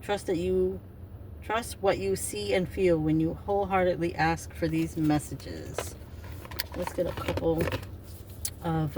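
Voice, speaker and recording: A woman in her fifties doing an oracle card reading, talking in a rambling, chatty way that often interrupts itself. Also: low voice. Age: 50s. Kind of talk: casual spiritual card reading. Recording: distant mic with faint noise.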